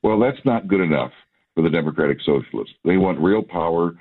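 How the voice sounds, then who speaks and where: A man's voice: sits low, lively intonation, a little fast. A man as a guest commentator on a radio interview, speaking assertively with wry remarks. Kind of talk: phone-in interview. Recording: phone line.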